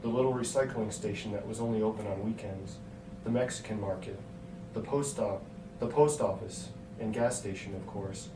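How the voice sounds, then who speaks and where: A man's voice: on the low side, normal speed. A man reading a scripted story aloud as its narrator. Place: hotel room. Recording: echoey hotel room, distant mic, noisy.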